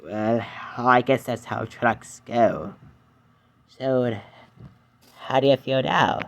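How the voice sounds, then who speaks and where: A man voicing a calm, patient male engine who counsels a friend in a fan-made cartoon dub. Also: medium pitch, gravelly. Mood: soothing. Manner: soothing, patient, slow.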